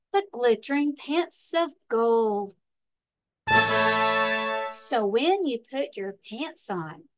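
High-voiced woman reading a storybook, unhurried. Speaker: librarian reading aloud. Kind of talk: expressive children's storybook narration.

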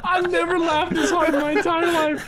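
A man's voice: very high-pitched, flat delivery, normal speed. A man bantering and joking over gameplay in a crude, giggly way. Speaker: gaming video player. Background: music.

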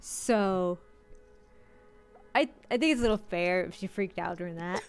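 A woman's voice: on the low side, moderate pace. A woman streamer reading a visual novel's story aloud indoors, with casual asides. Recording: music behind the voice.

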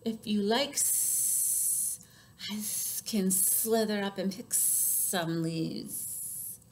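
A woman voicing a slithery, helpful snake in a children's story, with hissing, drawn-out s sounds. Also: mid-range voice. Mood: sly offering.

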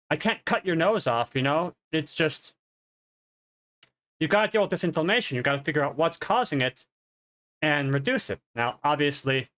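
A man's voice: fairly high pitch, fairly bright tone. A man in his thirties giving a rambling, casual update on a headset, his voice nasal and congested. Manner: rambling, self-correcting. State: congested, coughing. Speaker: streamer. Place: home streaming room.

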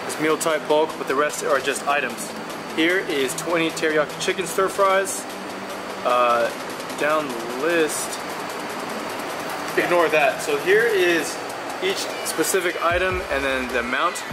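A young man who works in a commercial kitchen, calmly explaining his task step by step. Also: a little high, measured pace, very noisy. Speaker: kitchen worker. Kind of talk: casual explanation. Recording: loud background music.

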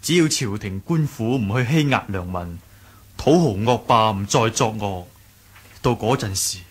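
Casting: young martial hero leading a sect, modest, courteous, slightly rough-edged voice, Hong Kong Cantonese, vintage radio drama. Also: male voice, lowish voice, animated, unhurried. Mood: earnest, questioning. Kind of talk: 1980s radio drama acting.

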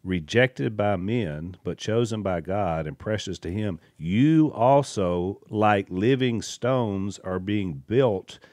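A middle-aged man co-hosting a podcast, reading verses slowly and deliberately on a studio mic. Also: fairly low pitch, a little mellow, no echo. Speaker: podcast co-host. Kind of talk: scripture reading and discussion. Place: wood-paneled studio room. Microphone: studio mic with headphones.

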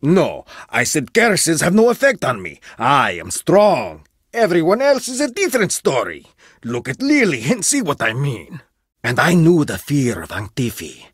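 A blunt, husky-voiced archaeologist. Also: male voice, mid-range voice, a bit bright, no room sound, clean studio sound, heavily acted. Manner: blunt, haughty, dramatic swings in delivery. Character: stern, proud male archaeologist, blunt and distrustful. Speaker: game character voice. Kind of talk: video game voice lines.

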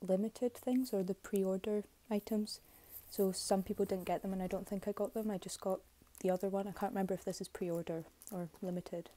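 A young woman with a slightly hoarse voice, giving low-key, halting commentary on an unboxing. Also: fairly even intonation, warm tone. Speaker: K-pop album unboxing vlogger. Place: bedroom, filming items on a bed.

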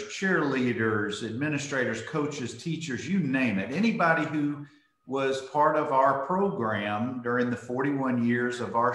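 A man's voice: medium pitch, fairly bright tone, echoey room. A middle-aged man, hosting a friendly interview over a video call, often repeating words as he starts a phrase. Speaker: host. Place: home room with school mascot wall.

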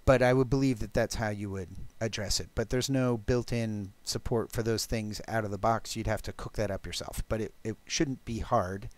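A man presenting a technical programming demo, explaining step by step in a halting, thinking-aloud way. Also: fairly low pitch, steady tone. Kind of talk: technical talk with live code demo.

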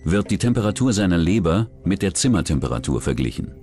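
Man, low voice, scripted crime documentary narration, music underneath. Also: big pitch swings, fast talker, mellow. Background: background music.

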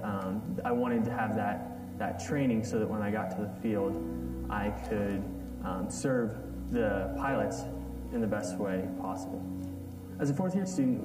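A man, a maintenance student, speaking thoughtfully with some fillers. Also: fairly low pitch. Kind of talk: documentary interview. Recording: roomy, distant, under loud music.

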